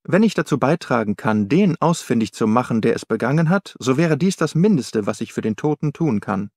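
A man's voice: medium pitch, lively intonation, fairly quick, darkish tone, dry recording, studio quality. A man voicing a composed, strong-willed young woman in an audiobook, forthright and proud. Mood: defiant. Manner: determined, forthright.

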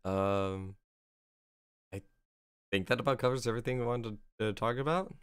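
A man's voice: on the low side, normal speed, a bit bright, clean tone, no room sound. A man of twenty-three chatting casually as a podcast co-host, close on the mic. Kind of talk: casual chat. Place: room at home, indoors. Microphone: close desk mic on boom arm.

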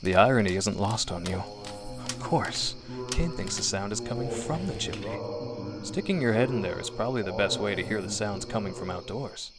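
A man's voice: lowish voice, animated, normal speed. A man narrating a scripted horror story in a dramatic, suspenseful radio drama manner. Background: music.

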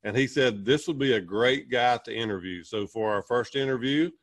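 A man in his forties hosting a podcast interview over a video call, upbeat in his intro and relaxed when asking questions. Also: medium pitch, normal speed. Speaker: podcast host. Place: small indoor room.

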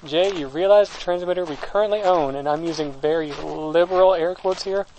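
A man playing a nonchalant, deadpan techno-mage engineer in a comic audio drama. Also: a little high, fairly quick, slight background noise, close to an everyday voice, poor audio. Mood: matter-of-fact.

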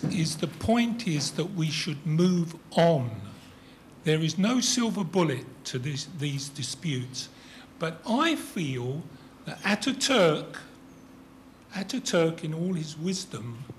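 An older man with a British accent, putting a deliberate, emphatic question from the audience of a lecture theatre. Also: high-pitched. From Britain. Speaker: audience member asking at conference. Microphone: handheld microphone. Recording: noisy lecture hall.

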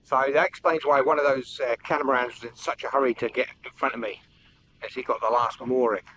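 Guy in his 50s on a boat, easygoing ramble full of ums, action cam, engine noise loud behind. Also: medium pitch, poor audio. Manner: relaxed, rambling, many ums and pauses. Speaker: solo sailing vlogger. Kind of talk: casual running commentary to camera. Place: outdoors on a sailboat on open water. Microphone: action camera on the boat.

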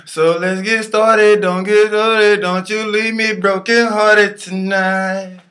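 A young man in a loose, amateur session, moving slowly between casual singing and banter. He sounds relaxed about retakes, recorded in a small room on a camera at arm's length. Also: sits high. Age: young adult.

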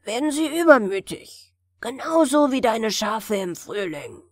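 A gruff old keeper from a fairy tale, acted out by a woman storyteller. He grumbles grimly, with lively swings in pitch. The sound is dry. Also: measured pace, no echo. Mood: grim, bitter. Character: gruff, grim old bearded weather keeper spirit.

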